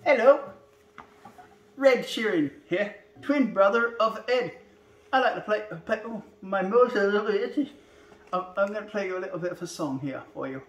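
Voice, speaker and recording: A man's voice: high voice, lively intonation, moderate pace, a bit bright. An older man voicing a shy twin brother with a put-on Scottish accent, talking bashfully and giggling at himself. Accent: put-on Scottish over Australian. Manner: bashful, giggly, self-deprecating. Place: small living room, indoors. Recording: echoey room with guitar.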